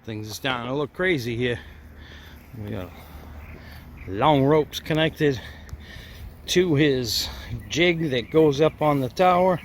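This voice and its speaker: A man's voice: mid-range voice, slow, long pauses, slight background noise. A man gives casual on-the-spot commentary as he films outdoors on a handheld camera. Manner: chuckles at his own remarks. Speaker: camera operator and helper narrating a vlog. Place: outdoors at the foot of an antenna tower.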